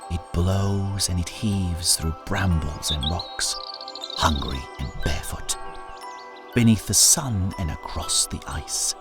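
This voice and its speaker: A man's voice: sits low, takes it slowly. A man voicing a wise older mother who gives a solemn folk warning in a storybook tale, grave and reverent. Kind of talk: storybook narration. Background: music.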